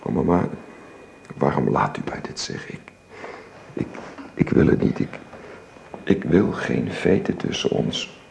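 An actor in a Dutch radio play voicing a devoted son and father, gentle but firm, halting when moved. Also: a bass voice, very animated, gravelly, full character voice. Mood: pained. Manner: halting, repeats words when emotional. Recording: faint background noise.

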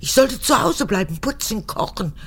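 A woman's voice: fairly high pitch, big pitch swings, a little hiss or hum. An actress playing a vain, erratic old mother and former officer in a radio drama, her voice cracked and often falling to a whisper. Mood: bitter, proud. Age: 80s. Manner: theatrical, erratic, halting then snapping. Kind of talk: German radio drama acting.

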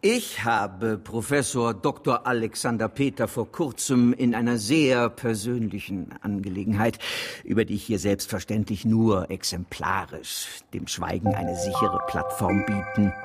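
An actor playing a pompous, scheming political insider in a satirical radio play, with a husky voice and formal, convoluted phrasing. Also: male voice, fairly low pitch, unhurried, faint music behind.

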